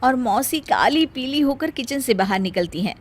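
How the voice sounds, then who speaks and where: Female storyteller for scripted moral tales: high register, highly animated intonation. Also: normal speed. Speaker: story narrator.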